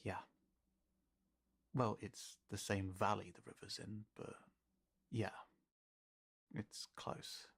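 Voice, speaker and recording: Man acting a slow, halting fantasy companion. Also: lowish voice, flat delivery, vocal fry, breathy edge, exaggerated voice. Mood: thoughtful, hushed. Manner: halting, soft-spoken, reassuring. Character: easygoing, hesitant male fantasy companion, gently supportive. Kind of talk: intimate audio roleplay acting.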